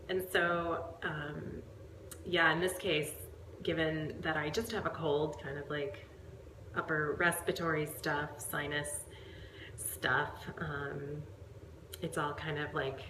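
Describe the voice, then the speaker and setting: A woman's voice: sits low, roomy sound, background noise. A woman fitness coach with a head cold and a stuffed-up voice, talking casually to her viewers with lots of fillers. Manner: chatty, rambling, many fillers. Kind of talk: casual talk to camera. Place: at home.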